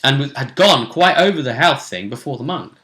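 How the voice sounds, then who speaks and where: A man co-hosting a casual recap of a tabletop role-playing game, telling it haltingly with dramatic emphasis. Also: medium pitch, animated, sharp tone.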